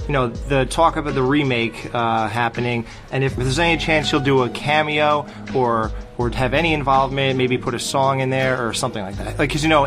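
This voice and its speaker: A man co-hosting an interview podcast, laid-back and joking as he relays fan questions. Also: mid-range voice. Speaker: podcast co-host. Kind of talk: casual interview chat.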